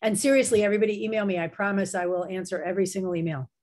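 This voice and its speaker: A woman's voice: medium pitch, fairly even intonation, racing, a little mellow. A middle-aged woman with a slight sore throat, a writing professor chatting enthusiastically as a guest on a video call, with many fillers and restarts. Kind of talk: casual video-call interview.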